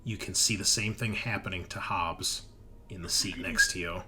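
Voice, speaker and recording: A man's voice: low voice, fairly even intonation, normal speed, very noisy. A man acting as game master, narrating a tabletop roleplaying game and explaining rulings to players in a conversational way. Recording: music playing behind the voice.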